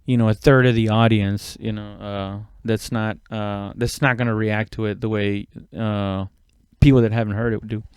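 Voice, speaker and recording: Male comic podcaster. Smooth, clean voice, dry close sound, informal halting solo ramble with loose swearing. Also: lowish voice, flat delivery, no echo. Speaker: stand-up comedian hosting own podcast. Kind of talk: casual rambling solo chat.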